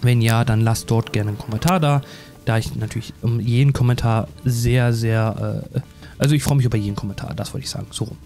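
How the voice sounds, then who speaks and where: Mellow-voiced, chatty male let's player. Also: mid-range voice, steady tone, brisk, soft background music. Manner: chatty, rambling, self-deprecating. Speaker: gaming YouTuber. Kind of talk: casual let's play commentary.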